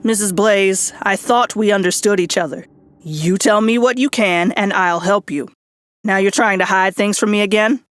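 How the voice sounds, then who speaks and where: A woman's voice: mid-range voice, moderate pace, close to an everyday voice. A woman playing a rakish, cool and sardonic hardboiled private detective in a noir radio drama. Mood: sardonic, pressing.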